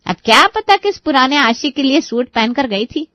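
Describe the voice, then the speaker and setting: A woman's voice: high-pitched, expressive, normal speed, no echo, dull audio. A woman voicing a middle-aged, taunting mother-in-law who sounds sly and accusing in a Hindi moral-story drama. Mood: suspicious, accusing.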